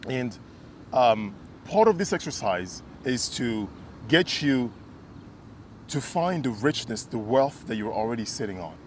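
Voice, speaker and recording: A man's voice: mid-range voice, very animated, a little slow, slight background noise. Earnest man from Kinshasa, emphatic. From Kinshasa, Congo. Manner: earnest, emphatic, with stammered repeats. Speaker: co-host. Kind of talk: casual conversation.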